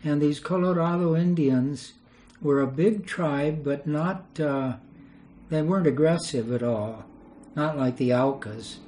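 A man's voice: a little high, measured pace, dark tone, slight background noise, low-quality recording. An older man, a retired missionary, telling rambling anecdotes in an interview and chuckling as he goes. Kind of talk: storytelling interview.

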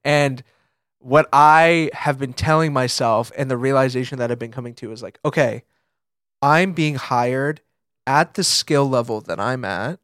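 A young American man with a medium-pitched voice speaks as a podcast co-host. His delivery is casual and confessional, moving haltingly as he thinks aloud. The recording is dry, without room sound. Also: fairly bright tone, clean tone, no room sound.

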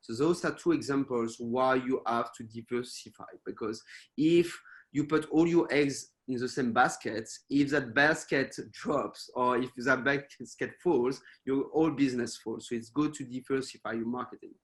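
Male guest speaker, French accent, hesitant webinar delivery over a call mic. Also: mid-range voice. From France. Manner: halting, many fillers and restarts. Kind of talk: presenting to a webinar. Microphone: video call.

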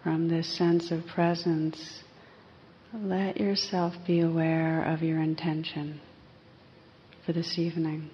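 A woman teaching a guided meditation in a gentle, unhurried way, pausing between phrases. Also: sits low, little pitch movement. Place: live evening group session. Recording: slightly muffled live recording, faint hiss.

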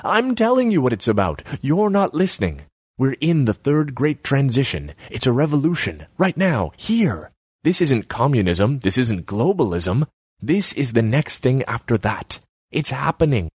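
Man voicing haughty young female artist, high, preachy, dry close sound. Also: very animated, normal speed, darkish tone, breathy edge, no echo. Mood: haughty lecturing. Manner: scornful, lecturing, self-important.

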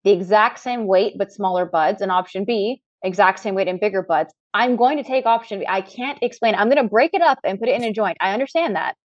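Woman podcast guest, quick chatty delivery, dry muffled recording. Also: mid-range voice, no room sound. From Trinidad, raised in Canada. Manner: chatty, lots of "like" fillers. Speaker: cannabis scientist guest. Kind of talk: casual podcast interview.

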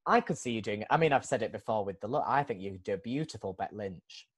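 A man hosting a casual podcast chat, animated and eager, laughing often as he talks. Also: on the low side, fast talker, breathy edge. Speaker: podcast host.

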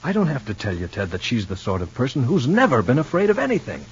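A man playing an earnest, worried, ordinary fellow in a 1950s radio soap opera, speaking in a halting way. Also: medium pitch, wildly swooping pitch. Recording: muffled old radio recording with hum.

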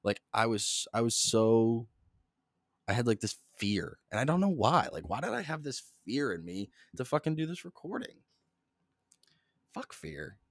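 A young man hosting a solo podcast, rambling in a flat monotone, swearing constantly and jumping between topics, close to the mic. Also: mid-range voice, measured pace, dry recording. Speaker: podcast host.

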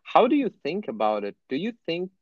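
A man's voice: fairly high pitch, brisk, dry recording. A man from Brazil leads a laid-back interview, talking fluently and easily with the odd curse word. The audio is dull and dry, with no room sound. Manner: fluent, relaxed, swears casually. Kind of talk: casual conversation.

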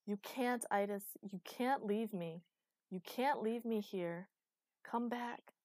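A woman voicing a desperate, fierce, commanding heroine in a dark fantasy audiobook, emotional and insistent. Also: mid-range voice, a little slow, no room sound, clean studio sound, natural voice. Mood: anguished. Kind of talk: audiobook character acting.

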